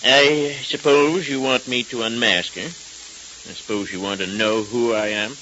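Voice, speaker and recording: A hissy, muffled 1930s radio recording of a masked vigilante rider. He is wry and resigned, with a bright, forceful voice at a middling pitch. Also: fairly even intonation, measured pace.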